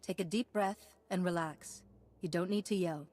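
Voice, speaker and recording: An actress playing a steadfast, confident heroine in a video game, composed and assured. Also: female voice, fairly low pitch, normal speed, a little mellow, close to an everyday voice. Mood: reassuring. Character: steadfast, confident female Jedi hero. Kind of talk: video game character acting.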